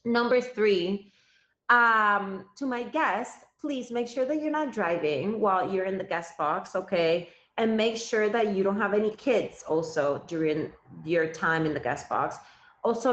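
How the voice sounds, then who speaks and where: A woman in her thirties from Cuba, a native Spanish speaker, hosting a live stream and chatting directly with her viewers. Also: medium pitch. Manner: chatty, addresses viewers directly, thanks gifters. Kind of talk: casual live stream chat. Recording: roomy, slightly dull sound.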